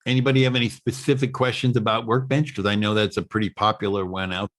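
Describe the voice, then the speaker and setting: A man with a fairly low voice teaches in an online technical session. He explains step by step in a halting manner, often repeating a word before he moves on. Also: little pitch movement, a bit bright. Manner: halting, with repeated words and stop-start phrasing. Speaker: instructor presenting at an online summit. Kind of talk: technical explanation, webinar talk.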